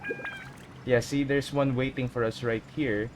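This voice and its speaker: A woman's voice: rumbling low, fairly even intonation, normal speed. A woman streamer giving casual commentary as she plays a video game. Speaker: gaming streamer. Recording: noisy, with background ambience.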